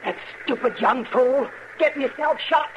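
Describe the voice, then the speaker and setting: Very high-pitched bitter frontier mother. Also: moderate pace, muffled recording. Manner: indignant, defensive, self-pitying. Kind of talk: 1950s radio western melodrama. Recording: noisy old radio recording.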